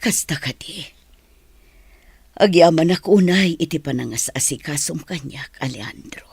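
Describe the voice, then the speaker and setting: An actress playing a gentle, forgiving married teacher in a radio drama, speaking softly and earnestly. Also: female voice, deep voice, expressive, moderate pace, vocal fry, husky, no echo, exaggerated voice. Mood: tender, forgiving.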